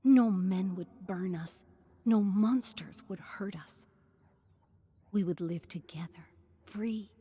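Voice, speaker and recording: A woman voicing a tender, desperate young woman in a story, gentle, wistful and half-whispered. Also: mid-range voice, slightly rough, pitched above the performer's own voice. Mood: pleading, hopeful. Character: tender, desperate young woman comforting her frail brother.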